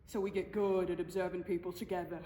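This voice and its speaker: A woman's voice: lowish voice, flat delivery, quick pace, mellow, voice pushed down, natural voice. A young woman performing a dramatic monologue as a brash, sparkling silent film comedienne, showy and theatrical, with conversational stammers. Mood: playful, excited. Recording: echoey hall, distant, noisy room.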